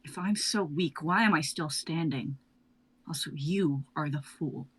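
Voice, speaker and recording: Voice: medium pitch, unhurried, a bit bright, husky. A performer reading a fearless sorceress in a live drama reading, cool, cutting and self-assured. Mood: confident. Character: fearless female sorceress, claims to be a god.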